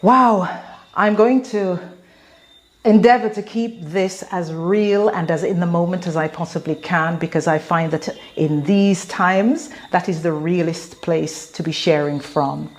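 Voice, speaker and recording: An English-accented woman in her fifties, giving an earnest, measured spoken address to an audience of women. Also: lowish voice. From England. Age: 50s. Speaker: motivational speaker.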